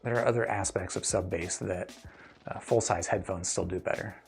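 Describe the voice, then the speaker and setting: A man's voice: low-pitched, fairly even intonation, moderate pace, creaky, darkish tone, soft background music. A man hosting a casual livestream question-and-answer session, explaining things in a thoughtful, hedging way. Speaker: host reviewing audio gear and answering viewer questions.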